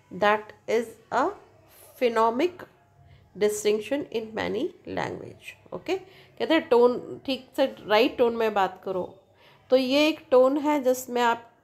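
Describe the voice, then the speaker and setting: A woman's voice: on the high side, darkish tone. A woman teacher reading out an English text and explaining it in a lecture, asking her students rhetorical questions along the way. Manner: reads English text slowly, then explains quickly in Hindi. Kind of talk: lecture explaining a textbook passage.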